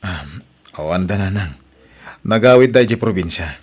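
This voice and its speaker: Grumbling, defensive husband. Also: male voice, deep voice, animated, moderate pace. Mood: evasive. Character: defensive, exasperated young husband and father. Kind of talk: Ilocano radio drama acting.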